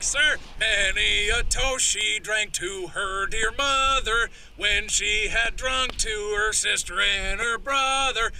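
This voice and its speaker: A man playing a hearty backwoods man in a horror audio drama, with folksy rural phrasing and a storyteller's pauses. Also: very high voice, creaky, sharp tone, slight background noise, heavily acted. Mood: merry singing. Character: gruff, hearty backwoods man retelling wilderness legends.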